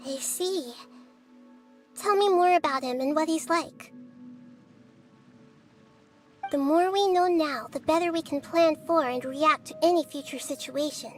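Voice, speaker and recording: Casting: woman playing wise girlish deity for fantasy game, high soft voice, composed and inquisitive, music underneath. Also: lightly acted. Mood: intrigued. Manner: measured, analytical, articulate. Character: calm, wise, inquisitive young girl-like deity. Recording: music behind the voice.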